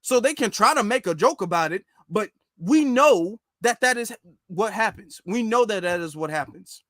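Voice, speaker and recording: High-pitched man, emphatic commentator. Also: expressive, moderate pace, a bit bright, no room sound, clean studio sound. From United States. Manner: emphatic, repeats phrases for stress. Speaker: live stream commentator. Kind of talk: informal political commentary to an online audience.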